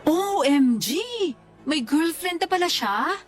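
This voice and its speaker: A frank female confidante with a very high voice. She teases in playful slang, radio-drama style, with faint music underneath. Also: lively intonation, slow pace. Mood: gossipy. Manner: teasing, slangy. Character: teasing, frank female best friend and confidante. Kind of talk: Tagalog radio drama dialogue. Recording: music behind the voice.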